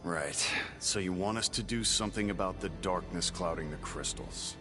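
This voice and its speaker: Low, blunt, driven warrior voiced by an actor. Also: male voice, moderate pace, natural voice. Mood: sceptical. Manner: terse, blunt. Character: gruff, blunt, obsessed male warrior. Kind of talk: video game cutscene acting. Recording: loud game music behind. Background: music.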